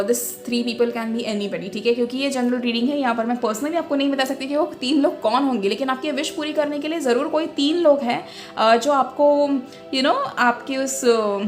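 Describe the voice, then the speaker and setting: High-voiced woman giving a tarot reading. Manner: mixes Hindi with English, frequent fillers. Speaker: tarot reader. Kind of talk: casual spiritual tarot reading.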